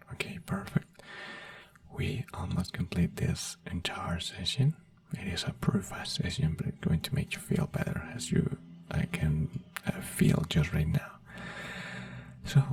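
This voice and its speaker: A man doing a soothing ASMR doctor roleplay in a slow whisper, with frequent fillers and phrasing. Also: sits low, gravelly, darkish tone, no echo, far from the mic.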